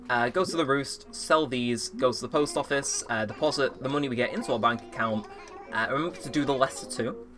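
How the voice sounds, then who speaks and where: An eighteen-year-old man chatting casually through a let's play, rambling and poking fun at himself. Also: mid-range voice. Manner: rambling, self-mocking, chatty. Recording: game music playing under the voice. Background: game music.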